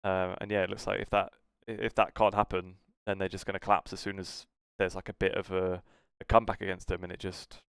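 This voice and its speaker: A man's voice: low voice, monotone, quick pace, creaky, a bit bright, clear, resonant tone, no echo, clean studio sound. A man with a British accent co-hosting a casual esports podcast, talking in a rambling, hesitant way that trails off. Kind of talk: casual esports news discussion.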